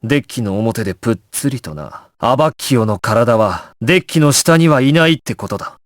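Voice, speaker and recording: A man's voice: medium pitch, lively intonation, brisk, no room sound, clean studio sound, close to an everyday voice. A male actor playing a resolute, composed young gangster squad leader in an anime video game, terse and authoritative in his clipped orders. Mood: cold, confrontational. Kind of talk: Japanese anime game voice acting, dramatic.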